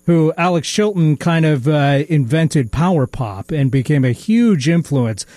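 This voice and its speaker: A man's voice: a little high, expressive, a little slow, mellow, dry recording. A man on a radio show talking casually and knowledgeably about music history. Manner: knowledgeable, easygoing music-trivia talk. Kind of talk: casual talk radio chat. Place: radio studio.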